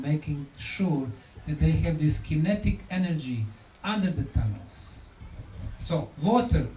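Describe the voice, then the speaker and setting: Male lecturer, high swooping voice, emphatic and deliberate, recorded in an echoey room. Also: dark tone. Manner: emphatic, deliberate, repeats key words for effect. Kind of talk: conference lecture. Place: conference hall lecture. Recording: echoey room, distant mic, faint noise.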